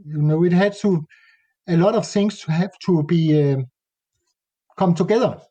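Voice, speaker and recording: A Danish man speaking English with a Danish accent, talking haltingly in a casual interview and often pausing to search for words. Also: high-pitched, warm tone. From Denmark. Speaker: nature photographer guest.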